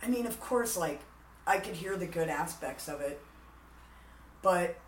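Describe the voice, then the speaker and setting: A man with a raspy voice, deepened by testosterone, talking candidly and animatedly as a musician guest in a casual interview. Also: measured pace, reverberant, a little hiss or hum, rough recording. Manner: candid, animated, frequent "like" fillers.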